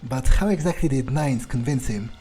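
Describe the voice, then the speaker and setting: A young fledgling vampire acted by a man in a game roleplay, polite and inquisitive. He speaks tentatively in a soft-edged, mellow, mid-pitched voice, with faint music underneath. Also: moderate pace, breathy edge, natural voice. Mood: thoughtful. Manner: hesitant, tentative questioning. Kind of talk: in-character video game roleplay.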